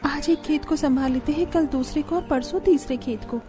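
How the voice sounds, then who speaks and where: A woman's voice: sits high, normal speed, warm tone, close to an everyday voice. A woman playing a hardworking, earnest young daughter-in-law in a village cartoon story. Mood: dutiful. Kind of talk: Hindi moral-story cartoon dialogue.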